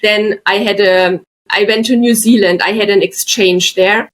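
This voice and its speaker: A woman's voice: mid-range voice, steady tone, unhurried. Middle-aged woman, podcast guest, chatty anecdote teller. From Germany, Bavaria. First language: German. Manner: chatty, tells anecdotes with frequent asides. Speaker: guest, artist. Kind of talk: casual podcast chat, storytelling.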